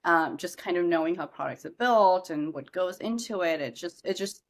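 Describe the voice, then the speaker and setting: Young woman from india, low deep voice, startup founder chatting on a podcast, lots of ums and restarts. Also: moderate pace. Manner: chatty, many fillers and restarts. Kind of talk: casual podcast interview.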